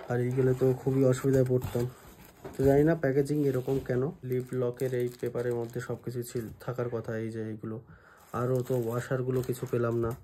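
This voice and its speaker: A man talks casually through an unboxing, pausing to think aloud as he goes. His voice is very soft-edged and dark, at a middling pitch with little rise and fall. Some ambient noise sits behind him. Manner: halting, thinking aloud as he handles items. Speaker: vlogger. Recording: noisy vlog recording with background ambience.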